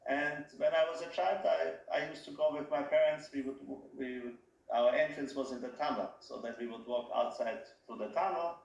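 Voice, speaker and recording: A man from Austria, a company head being interviewed, speaking in a halting way and often restarting his phrases. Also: fairly high pitch, creaky, lo-fi sound. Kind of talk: casual interview talk. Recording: roomy echo.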